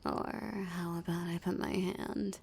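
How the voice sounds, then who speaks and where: Woman acting a slow, husky, seductive villainess. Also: on the low side, steady tone, a little mellow, exaggerated voice. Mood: teasing, confident. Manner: slow, teasing, often dropping into whispers. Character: flirty, dominant, teasing female villain holding a hostage. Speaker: audio roleplay voice actor. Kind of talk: intimate audio roleplay acting.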